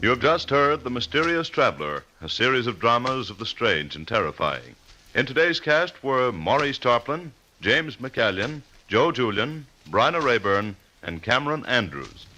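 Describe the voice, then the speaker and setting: A man's voice: medium pitch, big pitch swings, moderate pace, pushed and bright, dull audio, a little hiss or hum. A man announcing credits in the formal style of 1940s network radio.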